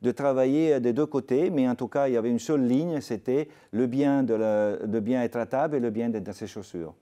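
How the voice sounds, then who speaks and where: An older man from Italy with an Italian accent, a restaurateur telling stories in a TV interview, halting with many fillers. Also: mid-range voice, warm tone. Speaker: restaurateur guest. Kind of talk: TV interview, anecdotal.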